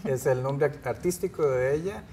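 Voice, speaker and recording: A middle-aged man hosting a studio interview, asking measured questions with frequent backchannels. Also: medium pitch, mellow, slight background noise. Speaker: TV interviewer host.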